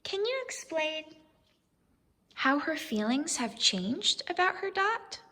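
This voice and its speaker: A woman reading a storybook aloud to young children as a teacher, expressive and deliberate, pausing to ask questions. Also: high-pitched, long reverb tail.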